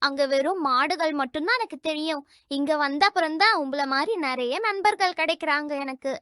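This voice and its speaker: Very high, bright, eager young cow. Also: female voice, a little fast, dry recording, studio quality. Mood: friendly. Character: friendly, eager young female cow, new in town. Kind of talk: children's cartoon dubbing.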